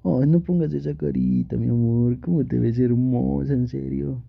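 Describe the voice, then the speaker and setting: A man's voice: medium pitch, steady tone, moderate pace, creaky, narrow, tinny audio. A young man playing an affectionate, teasing, pouty boyfriend in an intimate ASMR roleplay, talking playfully and pleadingly with sweet pet names. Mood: teasing, tender. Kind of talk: Spanish ASMR boyfriend roleplay, intimate one-sided dialogue.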